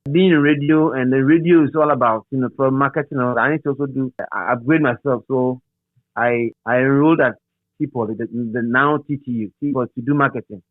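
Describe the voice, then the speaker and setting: A middle-aged Ghanaian man reminiscing as an interview guest in a halting, repetitive, animated storytelling manner. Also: medium pitch, no room sound. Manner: halting, repeats words and phrases, animated storyteller. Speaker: interview guest, radio DJ and presenter. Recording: thin phone-like sound.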